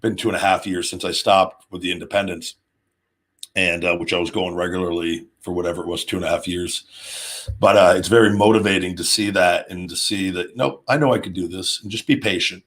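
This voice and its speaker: A man's voice: sits low, steady tone, fairly bright tone. A man in his late thirties hosting a casual, off-the-cuff talk show, rambling and often restarting his sentences. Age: 30s. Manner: rambling, halting, with repeated restarts. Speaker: show host, former pro wrestler. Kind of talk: casual off-the-cuff monologue answering viewers' questions.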